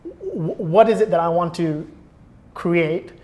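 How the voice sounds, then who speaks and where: A man's voice: high voice, sing-song, takes it slowly, a little mellow. Man talking casually as a podcast guest.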